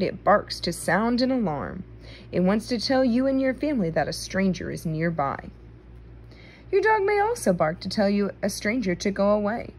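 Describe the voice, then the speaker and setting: A woman reading a children's nonfiction book aloud, phrasing it clearly for young listeners, recorded indoors on a handheld phone. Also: mid-range voice, darkish tone, a little hiss or hum.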